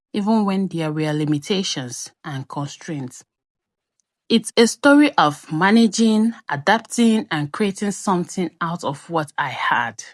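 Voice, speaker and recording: A young adult woman with a Nigerian accent tells a personal story in a conversational, expressive way, as a craft vlogger's voice-over. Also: medium pitch, dry recording, studio quality.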